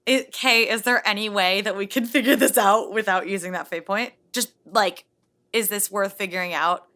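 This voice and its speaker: A woman's voice: mid-range voice, normal speed, sharp tone, no echo. A woman playing in a tabletop role-playing podcast, chatting casually. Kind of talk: casual game chat.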